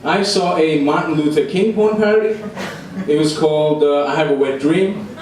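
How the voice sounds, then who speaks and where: A young man performing live stand-up comedy with a deadpan delivery, recorded in a room. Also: on the high side, normal speed. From immigrated to Australia at age eleven. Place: indoor comedy room before a live audience. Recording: echoey, noisy room recorded from far off.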